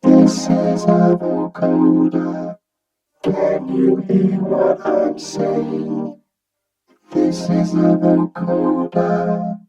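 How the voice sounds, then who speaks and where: Slow vocoder robot. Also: male voice, warm tone, dull audio, faint music behind. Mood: robotic, flat. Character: flat synthetic robot voice from a vocoder. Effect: vocoder robot filter over synth notes. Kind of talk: slow demonstration phrases on keyboard notes.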